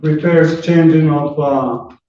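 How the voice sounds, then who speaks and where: A landlord speaking to the judge in a courtroom hearing, halting and slow, restarting his phrases. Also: a little high, fairly even intonation, mellow. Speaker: landlord, plaintiff. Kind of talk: courtroom testimony. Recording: very echoey room, distant, muffled, faint noise.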